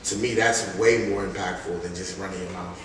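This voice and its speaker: Male interview guest, lower register, emphatic and slangy, casual delivery, strongly reverberant room with distant mic. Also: brisk. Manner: animated, emphatic, slangy storytelling. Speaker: interview guest, music artist. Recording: very echoey room, distant mic, faint noise.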